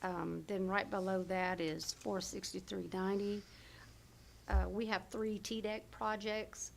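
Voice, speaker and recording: A hoarse woman presenting health department budget figures at a county committee meeting, with many fillers and self-corrections. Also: mid-range voice, flat delivery, a little slow, slight background noise. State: hoarse, losing her voice. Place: county government meeting room.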